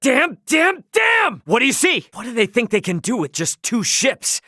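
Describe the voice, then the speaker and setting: An actor playing a brash, hot-headed but loyal young starship captain in a video game, with a blunt, cocky, slangy delivery. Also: male voice, extremely high pitch, huge pitch swings, normal speed, pushed and bright, no room sound, studio quality, heavily acted. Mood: frantic, shouting. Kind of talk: voiced video game character lines.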